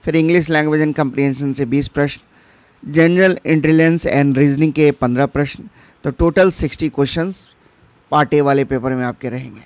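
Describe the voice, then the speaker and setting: A man's voice: fairly high pitch, steady tone, measured pace, darkish tone. A man gives an instructional explainer. He speaks directly to his viewers and drops in English terms. The sound is dry, with no room echo. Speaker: exam-preparation channel host. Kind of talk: informational explainer for exam candidates.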